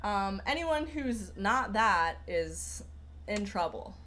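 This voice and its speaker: A woman's voice: medium pitch, lively intonation, takes it slowly, a bit bright, a little hiss or hum. A young woman hosting a casual livestream, rambling through viewer comments with lots of fillers and restarts. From Persian heritage. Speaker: livestream host.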